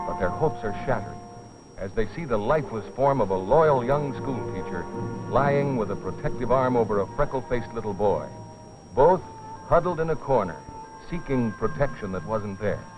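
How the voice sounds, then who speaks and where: A man delivering dramatic, solemn scripted narration for an educational film, with rhetorical weight. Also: fairly low pitch. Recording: dull, dated film soundtrack with music.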